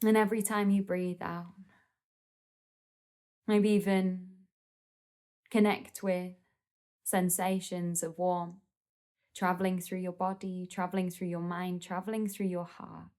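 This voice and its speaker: A woman leading a guided meditation in a gentle, soothing, unhurried manner with pauses between phrases. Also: fairly low pitch, flat delivery.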